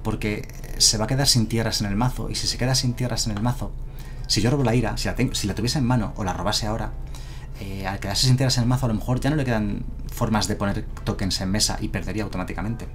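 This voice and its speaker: A man's voice: lowish voice, steady tone, quick pace, a little hiss or hum. A man in his thirties with a Madrid Spanish accent, casually thinking aloud while streaming a card game on a headset mic. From Madrid, Spain. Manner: casual, thinking aloud, self-deprecating chuckles. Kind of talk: live gameplay commentary. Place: home streaming room, indoors.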